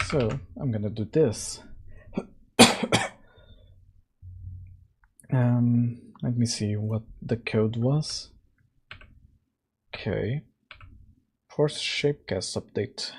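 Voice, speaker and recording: A man with a hoarse, husky voice, worn from days of coughing, chats slowly and casually as he works through code. He sits close to the mic late at night and fills pauses with ums as he thinks aloud. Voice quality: husky, deeper than usual. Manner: slow, thinking aloud with fillers. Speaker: game dev livestream host. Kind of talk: casual coding livestream chat. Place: home room, at night. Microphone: close mic right in front.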